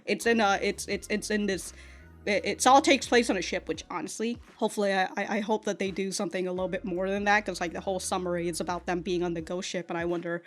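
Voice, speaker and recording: A woman's voice: medium pitch, steady tone, fast, faint music behind. A woman chatting casually and unscripted as an online reviewer, rambling and circling back over her phrases, with some casual swearing. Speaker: YouTube anime and manga reviewer.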